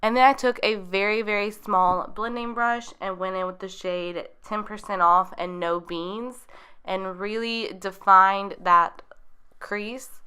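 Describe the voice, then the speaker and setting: A woman's voice: medium pitch, fairly even intonation, takes it slowly, sharp tone, clear, resonant tone. A young woman narrating a makeup tutorial in a chatty, rambling way, her voice a little nasal as she gets over a cold. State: getting over being sick. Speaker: beauty vlogger.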